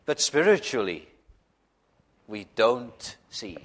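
A man whose first language is Welsh, preaching a sermon in a church in a slow, earnest way with frequent hesitations. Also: mid-range voice, big pitch swings.

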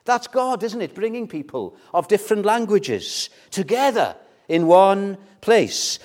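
A man's voice: high voice, big pitch swings, measured pace. An older man from Wales, a Welsh speaker, preaching a sermon in a conversational way with rhetorical questions and repeated words. His voice swells and then drops almost to a whisper. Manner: loudness rises and falls widely, dropping to near whispers. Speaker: pastor.

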